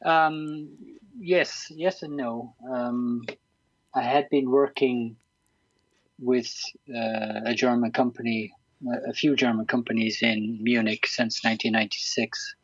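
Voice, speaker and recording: An Irish man in his sixties chatting as an interview guest, hesitant and full of ums and restarts. His voice sits at an ordinary pitch. The sound is dull and lo-fi, with a dry, close feel. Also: steady tone, a little slow, husky, no echo. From Ireland. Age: 60s. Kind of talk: casual interview chat.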